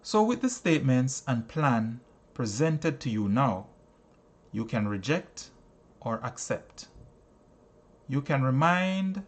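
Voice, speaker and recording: A man narrating a self-help book in a solemn, deliberate, instructive way. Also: mid-range voice. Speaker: audiobook narrator.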